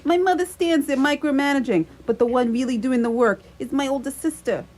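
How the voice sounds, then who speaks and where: A woman's voice: high voice, a little fast, darkish tone. A woman playing a shy, earnest young man on a date in a radio play, hesitant and rambling. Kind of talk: radio theatre acting.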